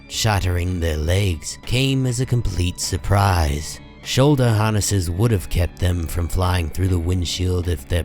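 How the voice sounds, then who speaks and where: Man, low voice, slow pace, grave dramatic narration, comic book storytelling, faint music bed. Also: darkish tone. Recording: music under the voice.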